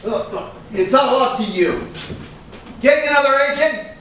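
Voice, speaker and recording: A woman's voice: on the high side, expressive, moderate pace, a bit bright. A woman playing a stern, reproachful, fed-up character in a staged drama, recorded far off in an echoey, noisy room. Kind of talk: staged play reading, dramatic dialogue. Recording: very echoey room, distant mic, clear noise.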